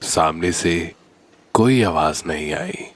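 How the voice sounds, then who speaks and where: A man narrating a scripted horror story in a deliberate, suspenseful manner. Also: sits low, expressive, a bit bright.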